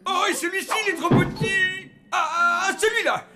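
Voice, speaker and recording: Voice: very high voice, steady tone, moderate pace. An actor playing a pompous, lovesick, centuries-old undead colonel in a cartoon dub. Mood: fussy, excited. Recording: loud music behind the voice.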